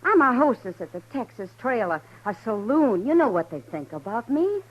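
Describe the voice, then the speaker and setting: A wry frontier saloon hostess with a fairly high, lively voice. She teases with a dry edge. The old radio recording sounds dull and muffled. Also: normal speed. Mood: teasing. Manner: wry, teasing. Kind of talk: 1950s radio drama acting.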